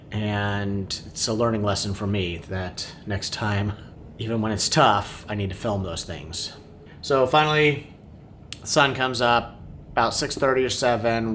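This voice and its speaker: A man who vlogs family travel, giving an unscripted recap in a downbeat, regretful manner. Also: a bit bright. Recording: clear background noise, low rumble.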